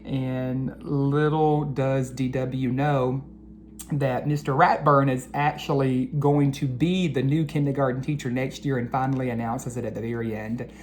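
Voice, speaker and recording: Slow, even-pitched woman reading to children. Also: a little hiss or hum. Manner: deliberate, earnest, addresses children directly. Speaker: educator reading aloud to children. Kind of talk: storybook read-aloud and reflective talk to children.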